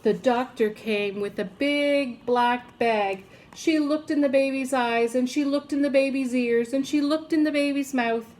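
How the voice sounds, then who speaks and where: A woman teacher reading a children's story aloud in expressive narration, stretching out repeated phrases for emphasis. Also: high voice, a little slow, hardly any pauses, rough recording.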